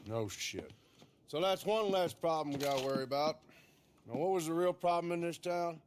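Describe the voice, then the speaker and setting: An actor playing a resolute Old West lawman with an American accent, speaking in a dry, measured, plain way for a game cutscene. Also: fairly high pitch, expressive, lightly acted. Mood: grim, dry. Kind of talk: video game cutscene acting.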